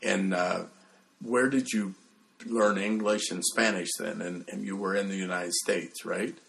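Very gravelly, low-voiced male interviewer. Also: steady tone, fairly bright tone. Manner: halting, deliberate questioning. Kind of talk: conversational interview questions.

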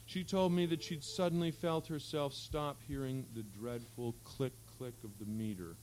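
Male literary reader, medium pitch, measured and articulate narration of fiction, live recording with slight background hum. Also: steady tone, a little mellow, poor audio. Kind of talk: reading literary fiction aloud. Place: live public reading at an event. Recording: faint hum and background noise.

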